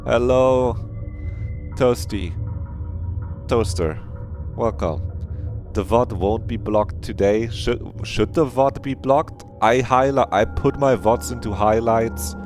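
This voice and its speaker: A man's voice: mid-range voice, slow, darkish tone. A man hosting a livestream, chatting casually and rambling easily to his viewers. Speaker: livestreamer and host. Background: background game music.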